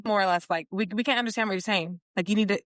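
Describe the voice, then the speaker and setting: A woman's voice: medium pitch, racing, fairly bright tone, no echo, clean studio sound. A woman co-hosting a podcast, chatty and recounting memories. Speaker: podcast co-host. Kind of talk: casual chat.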